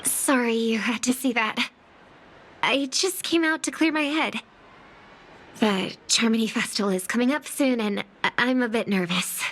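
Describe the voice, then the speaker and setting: A woman voicing an earnest, watchful and worried young singer in a video game, soft and hesitant in manner. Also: a little high, moderate pace. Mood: shy, nervous. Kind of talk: scripted game dialogue, inner monologue.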